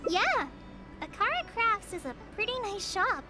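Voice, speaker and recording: A woman's voice: very high voice, big pitch swings, measured pace, music in the background, exaggerated voice. A woman voicing a polite, dutiful young student in a video game, a little hesitant. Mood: polite, earnest.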